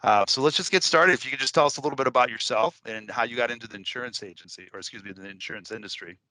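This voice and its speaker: A man's voice: medium pitch, very fast, sharp tone, no room sound, low-quality recording. A man who runs a cleaning business, hosting a casual interview in a loose, chatty way with many fillers.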